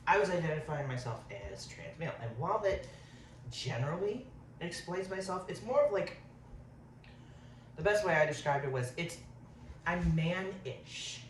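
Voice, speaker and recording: A man's voice: on the high side, expressive, normal speed, long pauses. A man in his thirties chatting casually while eating on camera, rambling and saying um a lot. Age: 30s. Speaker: vlogger. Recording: roomy, distant, faint hiss.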